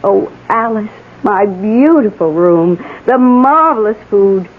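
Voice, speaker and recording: Effusive, husky, eager young lodger. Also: female voice, mid-range voice, expressive, slow pace. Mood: effusive, pleased. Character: earnest, eager-to-please female friend and lodger. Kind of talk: 1980 radio drama acting. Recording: muffled band-limited old radio broadcast.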